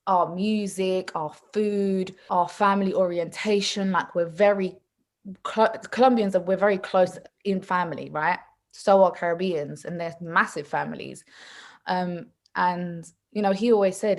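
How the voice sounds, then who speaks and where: A woman born in England, a mother talking in a casual, animated way with lots of asides and restarts. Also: fairly low pitch. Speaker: mother and podcast guest. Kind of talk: casual conversational chat.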